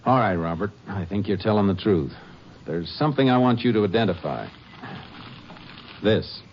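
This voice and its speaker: An actor in a 1950s radio drama playing a steady Texas Ranger, calm, firm and clipped. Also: male voice, lowish voice, normal speed. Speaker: lead and narrator. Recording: lo-fi old radio recording with hiss.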